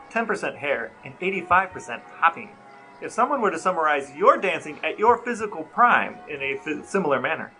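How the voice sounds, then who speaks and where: A man co-hosting a conversational podcast, speaking in a deadpan, mock-serious way. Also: fairly high pitch, creaky, low-quality recording. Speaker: podcast co-host. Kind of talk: conversational podcast talk and interviewing.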